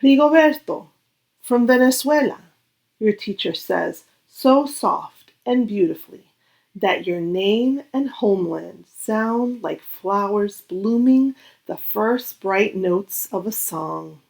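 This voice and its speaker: A woman reading a children's picture book aloud in a gentle, deliberate, expressive storytelling manner with dramatic pauses. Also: mid-range voice, creaky.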